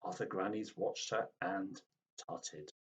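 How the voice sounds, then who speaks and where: A man reading a children's storybook aloud in an expressive, dramatic way. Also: sits low, slow pace. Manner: animated, dramatic.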